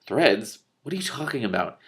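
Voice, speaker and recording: A man's voice: medium pitch, big pitch swings, normal speed, fairly bright tone, pitched above the performer's own voice. A man voicing a pedantic, easily miffed but curious magician in a storybook, with wordy, formal phrasing. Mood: eager, startled. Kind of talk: expressive audiobook-style character reading.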